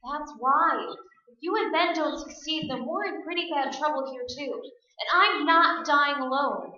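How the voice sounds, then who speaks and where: A woman's voice: high voice, a little slow, pushed and bright. A woman playing a devoted, stubbornly brave young farm woman in a staged radio play. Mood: determined. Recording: echoey stage, distant mic, dull sound.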